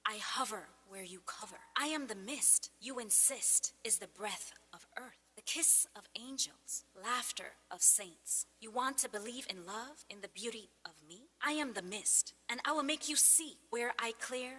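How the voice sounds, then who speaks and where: A woman playing a brooding schoolgirl who recites dark verse hauntingly in a radio drama. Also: a little high, expressive, normal speed, hardly any pauses, slight background noise. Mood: eerie, brooding. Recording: long cavernous reverb on the voice.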